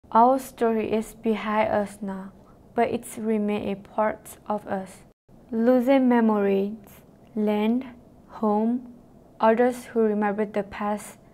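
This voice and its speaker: Earnest teenage girl with a Karen accent. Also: mid-range voice. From Thailand. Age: teens. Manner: steady, earnest reading. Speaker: narrator. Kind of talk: scripted personal narration. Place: voice-over.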